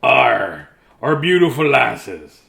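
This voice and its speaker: Blustering old storybook pirate male reader: growly archaic talk, forceful, animated. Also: mid-range voice, slow, creaky, full character voice.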